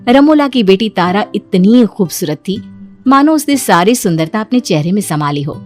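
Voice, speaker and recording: A woman's voice: medium pitch, animated, moderate pace, darkish tone. A woman reading scripted story narration as the narrator of a moral tale, over music.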